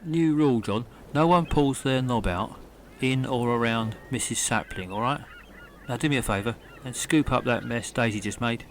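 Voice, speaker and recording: A man's voice: mid-range voice, moderate pace, a little hiss or hum, natural voice. No-nonsense castaway leader, played by a man. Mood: commanding. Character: no-nonsense, bemused castaway leader giving orders. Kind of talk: comedy audio drama acting.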